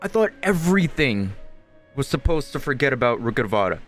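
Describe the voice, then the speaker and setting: A man's voice: mid-range voice, sing-song, brisk, a bit bright. A man giving casual, off-the-cuff commentary as he streams a video game playthrough. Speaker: gaming streamer. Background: faint game music.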